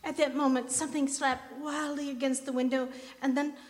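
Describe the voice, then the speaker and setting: A woman playing a haunted young wife who narrates her eerie journal in radio drama style, measured and full of dread. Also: high-pitched, flat delivery, slight background noise, close to an everyday voice. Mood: tense. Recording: live theater stage, long hall reverb.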